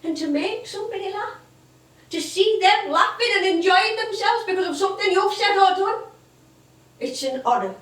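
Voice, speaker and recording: An actress playing an older English music-hall comedienne on stage, wistful and chatty, reminiscing in a rambling way. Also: female voice, very high voice, animated, normal speed, a bit bright. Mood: tender, reflective. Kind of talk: theatrical stage monologue and dialogue. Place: theatre stage. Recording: echoey stage, distant microphone.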